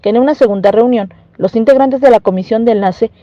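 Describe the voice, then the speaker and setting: A woman's voice: fairly high pitch, fast, no room sound, dull audio. A woman with a Mexican accent reading a scripted news report as a reporter.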